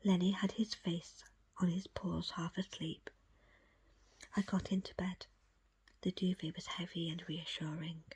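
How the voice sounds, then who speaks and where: Breathy whispering woman reading aloud. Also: fairly low pitch, flat delivery. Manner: hushed, measured storytelling. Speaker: audiobook reader. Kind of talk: ASMR whispered book reading of a first-person account.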